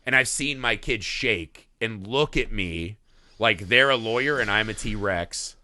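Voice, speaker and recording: A middle-aged man co-hosting a casual film podcast, swearing freely and acting out scenes in quick voices. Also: lowish voice. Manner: profane, animated, acts out scenes in voices. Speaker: podcast co-host.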